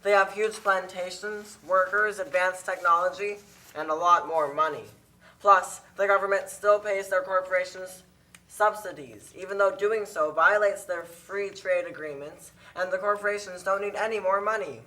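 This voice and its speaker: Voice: medium pitch, bright, forceful tone, lightly acted, low-quality recording. A performer voicing a weary, struggling small corn farmer in a puppet show, earnest and plaintive.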